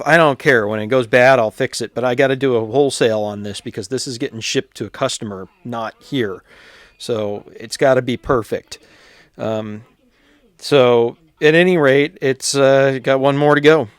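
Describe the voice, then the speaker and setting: A man giving a casual, unscripted repair walkthrough, plainspoken and thinking aloud with pauses as he works. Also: mid-range voice, dry recording. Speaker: hobbyist radio repairer presenting his channel.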